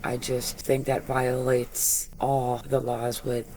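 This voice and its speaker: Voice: medium pitch, monotone, slow pace, darkish tone, a little hiss or hum, rough recording. A true crime channel host giving casual, opinionated commentary with lots of filler words. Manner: chatty, filler-heavy, opinionated.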